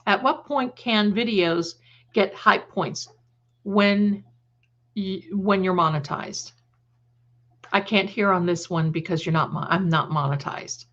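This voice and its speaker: A woman's voice: mid-range voice, slow pace, fairly bright tone, lo-fi sound. A woman hosting a casual live chat, rambling and repeating phrases, with affectionate endearments for her viewers. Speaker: livestream host and creator.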